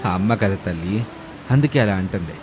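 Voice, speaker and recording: A man voicing a gentle, affectionate middle-aged village father in a tender, soothing way. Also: low voice, expressive, normal speed, pitched below the performer's own voice. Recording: music bed behind voice.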